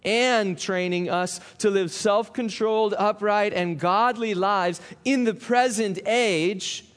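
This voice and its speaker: High-voiced male pastor preaching. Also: fairly bright tone. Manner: slows down for scripture reading, conversational asides. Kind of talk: sermon.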